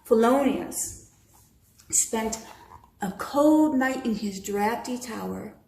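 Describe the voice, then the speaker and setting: Slow, mellow American woman reading a bedtime story. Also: a little high, expressive, creaky, echoey room, a little hiss or hum, poor audio. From Michigan, United States. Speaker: storybook reader.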